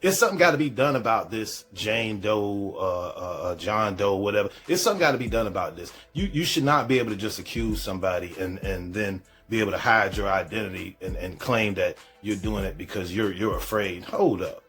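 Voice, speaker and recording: A man's voice: on the low side, expressive, fairly quick, few pauses, a bit bright, soft background music. A man hosting a reaction show, giving casual, slangy commentary and repeating phrases for emphasis.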